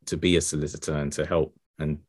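Man, podcast host, very deep voice, friendly, rambling, dry close sound. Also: level tone, fast, a little mellow, breathy edge, dry recording. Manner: friendly, rambling, many fillers. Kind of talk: casual interview chat.

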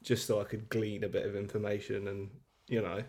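A man's voice: fairly low pitch, level tone, fairly quick, darkish tone. A man talking casually and informally as a podcast guest, in a loose anecdotal style with salty language. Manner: informal, sweary, quotes himself.

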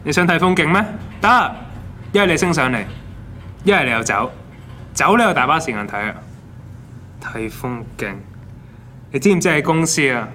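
A man's voice: fairly high pitch, expressive, moderate pace, pauses often, bright, forceful tone, a little hiss or hum. An actor playing a stern, sarcastic office boss who scolds his staff in a radio drama. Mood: angry, mocking. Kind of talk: Cantonese radio drama acting.

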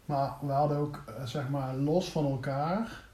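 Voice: medium pitch, measured pace, dark tone. A person in reflective casual conversation, searching for words and often repeating them, as if being interviewed. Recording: echoey room, faint background noise.

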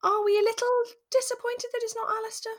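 A woman's voice: extremely high pitch, little pitch movement, quick pace. A woman co-hosting a podcast, chatting casually and enthusiastically about a drama and agreeing readily as she goes. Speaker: podcast co-host. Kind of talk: casual chat reviewing a radio drama.

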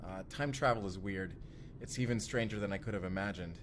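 Man acting young male time traveler, low pitch, earnest, secretive, hesitant, audio drama, background noise. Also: fairly quick, close to an everyday voice. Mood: earnest, explanatory. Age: young adult.

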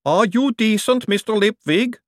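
A man voicing a huge, polite female clay golem with a booming voice in an audiobook. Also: moderate pace, dry recording, clean studio sound, close to an everyday voice.

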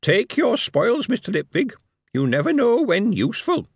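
A man's voice: high-pitched, wildly swooping pitch, normal speed, slightly rough, no room sound, studio quality, voice pushed up, full character voice. A man voicing a small, sly male goblin who speaks in broken grammar with a sardonic, lofty manner. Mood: cheerful.

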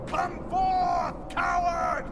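An actor playing a furious warrior lord in a fantasy game cinematic, bellowing out a drawn-out challenge. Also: male voice, very high voice, steady tone, a crowd in the background, exaggerated voice. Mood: righteous fury. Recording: game audio played back, loud battle noise.

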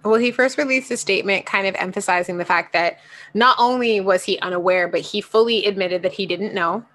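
Woman, bright forceful voice, casual podcast chat, agreeable, quick "yeah"s. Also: mid-range voice. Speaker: podcast co-host.

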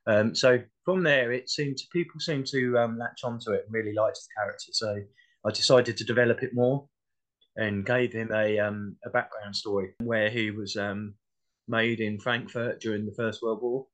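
A man's voice: on the low side, steady tone, moderate pace, a bit bright, low-quality recording. A man speaking as an interview guest in a casual, modest and reflective way. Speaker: interview guest, playwright and actor.

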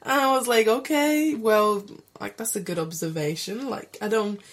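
A young woman with a slight Greek accent co-hosting a podcast, chatty and quick with reactions. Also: a little high. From Greece. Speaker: podcast co-host. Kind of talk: casual chat.

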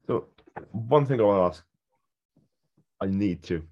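Deep-voiced British podcast host, lo-fi. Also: male voice, expressive, unhurried. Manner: chatty and enthusiastic. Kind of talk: casual podcast interview. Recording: dull, lo-fi sound.

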